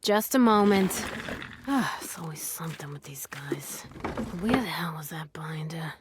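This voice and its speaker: Jaded, curt office clerk, animated. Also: female voice, sits low, measured pace, noisy background. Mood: irritated, bored. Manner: curt, sarcastic, sighing. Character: jaded, impatient female records clerk. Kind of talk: video game character acting.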